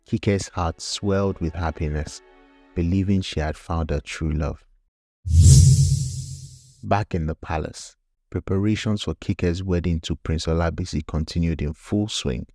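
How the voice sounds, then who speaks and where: A man's voice: deep voice, fairly even intonation, a little slow, a little mellow, no room sound, clean studio sound, faint music behind. A man narrating a scripted folktale as the storyteller.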